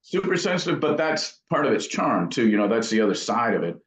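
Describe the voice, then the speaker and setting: A man's voice: mid-range voice, very animated, fairly quick. A man being interviewed as an amp builder, talking casually in thoughtful, rambling explanations. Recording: dull, echoey room sound.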